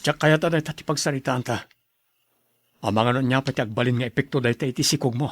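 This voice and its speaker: A man's voice: mid-range voice, fast talker, no room sound, natural voice. A man with an Ilocano accent playing an eager young husband and new father in a radio drama. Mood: coaxing.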